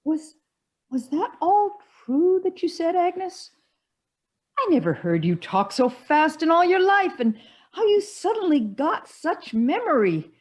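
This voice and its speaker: A fussy society gossip with a high voice that swoops up and down, acted by a woman. She questions everything indignantly, in the theatrical style of an old one-act play. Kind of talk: stage acting in an online one-act play.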